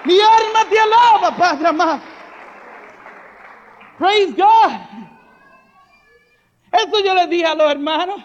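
High-voiced fervent preacher man, hall echo. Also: slow pace, long pauses, some chatter behind. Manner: fervent, builds to shouting refrains; jokey asides with soft laughs. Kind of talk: charismatic sermon. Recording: reverberant hall, dull lo-fi sound.

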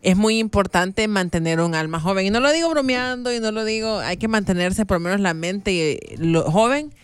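Smooth-voiced radio host. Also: female voice, medium pitch, brisk, no room sound. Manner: warm, chatty, addresses listeners. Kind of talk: radio morning show chat.

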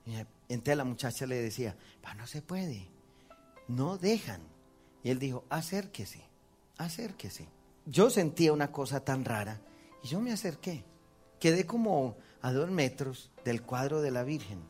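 A Colombian priest with a Colombian Spanish accent, preaching a testimony to a congregation, telling the story with acted-out quotes and laughing at himself. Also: male voice, medium pitch, sing-song, a little slow, darkish tone, soft background music.

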